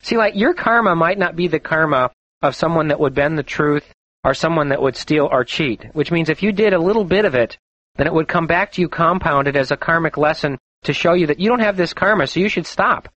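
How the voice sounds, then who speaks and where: A man's voice: fairly high pitch, lively intonation, fairly quick, fairly bright tone, low-quality recording. A man hosting a call-in astrology radio show, talking confidently and chattily and laughing often.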